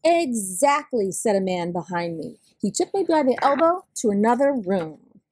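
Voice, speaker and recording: A woman reading a picture book aloud expressively, with deliberate delivery and dramatic pauses. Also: mid-range voice, sing-song, darkish tone, no echo. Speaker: storybook reader.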